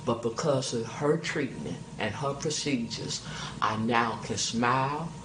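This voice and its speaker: A man's voice: medium pitch, a little slow. Man's testimonial in an echoey, noisy room. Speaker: patient giving a testimonial. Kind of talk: personal video testimonial. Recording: echoey room with clear background noise.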